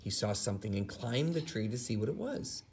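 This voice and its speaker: Man, bedtime storyteller, gravelly, lively rise and fall, expressive, drawn-out emphasis. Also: mid-range voice, slight background noise. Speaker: storyteller reading to a child.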